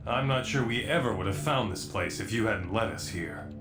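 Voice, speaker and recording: An actor playing a grave superhero, serious and understated, in a game cutscene with an echoey sound. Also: male voice, fairly low pitch, expressive, moderate pace, lightly acted. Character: grave, low-voiced male superhero. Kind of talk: dramatic video game cutscene acting. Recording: echoey room, music behind.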